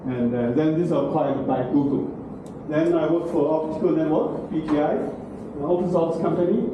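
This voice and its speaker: Lecturer echoing in a classroom. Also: male voice, on the high side, moderate pace. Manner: broken English, frequent "okay?" and "right?" check-ins. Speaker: university lecturer. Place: large classroom. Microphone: far room camera. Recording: very echoey classroom from far off, noisy.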